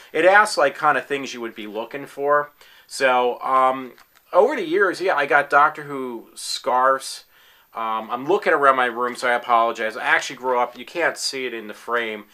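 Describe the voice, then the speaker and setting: A man's voice: medium pitch, sharp tone. Rambling enthusiastic man in his forties. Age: 40s. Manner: rambling, many fillers and restarts; enthusiastic fan. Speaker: applicant in a tryout video. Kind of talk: casual self-recorded talk. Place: his room at home.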